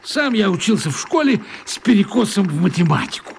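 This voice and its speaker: An actor playing an absent-minded, dreamy, childlike writer who mutters musings to himself in a children's radio play. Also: male voice, sits high, sing-song, brisk, gravelly, a little hiss or hum, full character voice. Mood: absorbed muttering.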